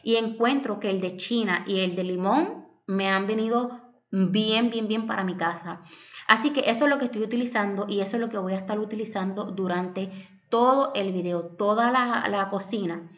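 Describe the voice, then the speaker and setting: A woman's voice: mid-range voice, moderate pace, muffled recording. Chatty young Puerto Rican woman vlogger. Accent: Puerto Rican Spanish. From Puerto Rico. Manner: affectionate, chatty, addresses viewers as "my loves". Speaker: home vlogger. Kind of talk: voice-over vlog narration.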